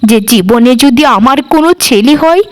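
A woman's voice: fairly high pitch, lively intonation, moderate pace, close to an everyday voice. A woman voicing a loving, supportive middle-aged mother-in-law in a cartoon, tender and reassuring. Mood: tender, wistful. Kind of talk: cartoon dialogue.

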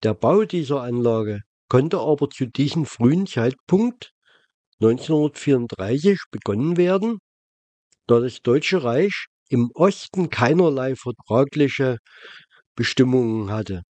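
A man reading a scripted, factual documentary narration in a measured way. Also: mid-range voice, lively intonation, dark tone, no echo. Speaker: narrator of a travel documentary vlog.

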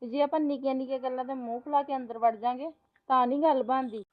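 Advising sister-in-law played by a woman, high voice, muffled lo-fi. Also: steady tone, fast, lightly acted. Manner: advising, explaining patiently. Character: sensible elder sister-in-law, young married woman.

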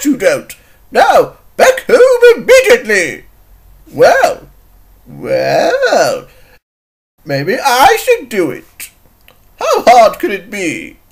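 A man's voice: high-pitched, gravelly, full character voice. A man voicing a haughty, bossy older lady from a children's cartoon, scolding as she talks.

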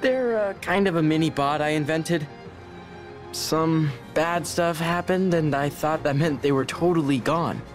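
A man's voice: fairly high pitch, a little slow, close to an everyday voice. A man playing an earnest teenage boy robotics prodigy and hero in a video game cutscene, hesitant when thinking. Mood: puzzled, earnest. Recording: music behind the voice.

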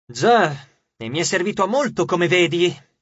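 A man's voice: high voice, very animated, normal speed, slightly rough, dry recording, dull audio, full character voice. A man voicing a whining, cowardly male ghost in rags. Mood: whiny. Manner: whiny, self-pitying.

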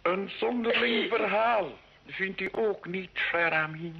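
An actor playing a jovial, scheming medieval duke in a radio play, patronising and theatrical, with a gravelly, husky voice. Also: male voice, sits high, slow, heavily acted. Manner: theatrical, patronising, sprinkled with French phrases. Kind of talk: Dutch-language radio play acting. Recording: dull, lo-fi old radio recording.

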